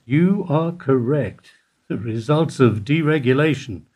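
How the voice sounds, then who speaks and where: Older guy playing a quiet old bank clerk, husky voice, clipped dodgy answers, pitch jumps around a lot. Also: animated. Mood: evasive. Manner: measured, terse, evasive replies. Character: calm, philosophical older male bank clerk. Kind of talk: amateur radio play acting.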